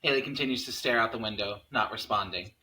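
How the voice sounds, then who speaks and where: A man's voice: fairly low pitch, fast talker, pushed and bright, rough recording. A man reading stage directions aloud as the narrator of a table read.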